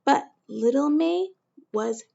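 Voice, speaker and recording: A woman teacher reading a picture book aloud to children, gentle and slow, with questions to her listeners. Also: high voice, animated, creaky, dull audio, slight background noise.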